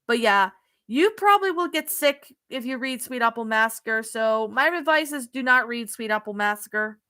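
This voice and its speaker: High bright Canadian woman streamer. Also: expressive, normal speed. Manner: hesitant, many ums and restarts. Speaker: streamer reading chat. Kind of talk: casual live stream chat.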